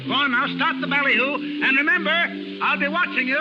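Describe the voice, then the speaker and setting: Brash high-pitched carnival barker, old radio. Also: male voice, fast. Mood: commanding. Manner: commanding, punchy showman patter. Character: brash, gruff male carnival barker and show boss. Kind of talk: 1930s radio acting. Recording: muffled 1930s radio recording with music.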